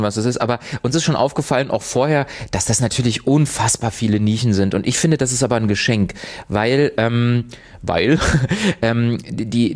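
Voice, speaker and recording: A man's voice: medium pitch, a little mellow. A man co-hosting a casual radio chat, rambling along, hunting for words and correcting himself. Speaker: radio co-host.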